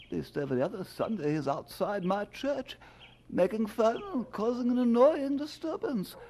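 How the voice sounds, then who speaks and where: High-pitched, fussy, aggrieved clergyman. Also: expressive, normal speed, mellow, slight background noise. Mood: incredulous, miffed. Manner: incredulous, querulous, formal. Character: fussy, aggrieved clergyman called Old Cole. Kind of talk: radio drama acting.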